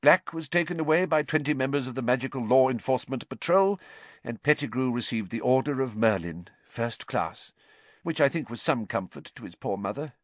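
A man voicing a pompous, grave, fussy middle-aged government minister in a storybook, telling things in a measured way. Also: medium pitch, expressive, no room sound, voice pushed up, close to an everyday voice. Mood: indignant, haunted.

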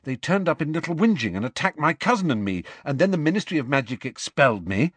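Teen boy wizard, man doing it, higher voice, quick, urgent. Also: sing-song, slightly rough, dry recording. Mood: eager. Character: teenage boy wizard, eager and determined.

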